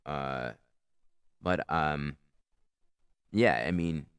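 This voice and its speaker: A man's voice: rumbling low, extremely slow, a bit bright, clear, resonant tone, no room sound, studio quality. A man with a powerful, carrying voice, casually reviewing his own comedy set in a rambling, profane, self-critical way. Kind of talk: casual commentary.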